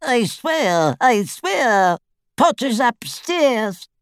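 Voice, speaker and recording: A man's voice: very high-pitched, sing-song, drawn-out words, smooth, no echo, clean studio sound, voice pushed up, exaggerated voice. A man voicing a terrified father in an audiobook, sobbing and begging desperately as he repeats himself. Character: terrified, sobbing, pleading father captured by attackers. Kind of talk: audiobook character voice.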